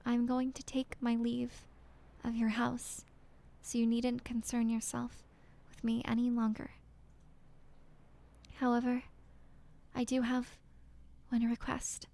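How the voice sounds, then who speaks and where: A woman voicing a heartbroken young woman reading out her farewell letter in a halting, apologetic half-whisper. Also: on the high side, monotone, vocal fry, dark tone, pitched above the performer's own voice.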